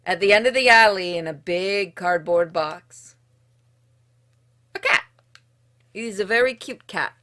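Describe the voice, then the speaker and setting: A woman reading a visual novel game's narration aloud in an expressive storytelling way, with casual, dryly humorous asides. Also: mid-range voice, a little slow, pauses often. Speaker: streamer playing and narrating a game.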